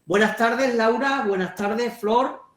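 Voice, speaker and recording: A man hosting an online webinar, polite and brisk as he introduces speakers over a video call. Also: very high voice, fairly bright tone, echoey room. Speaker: webinar host.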